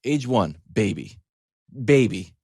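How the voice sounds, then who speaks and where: Animated middle-aged American man, casual storyteller. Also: lowish voice, slow pace, breathy edge, no room sound, clean studio sound. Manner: conversational, wry, acts out quoted asides. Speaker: sports show host telling a story. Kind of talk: casual comic monologue.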